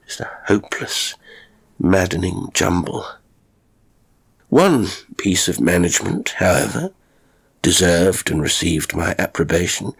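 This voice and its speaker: Low, gravelly male horror story narrator. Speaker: audiobook narrator of strange stories. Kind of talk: scripted first-person horror story narration.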